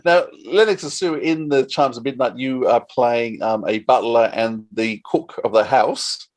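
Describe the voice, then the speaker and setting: A man hosting a casual podcast interview, friendly and well informed, with somewhat halting phrasing. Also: medium pitch.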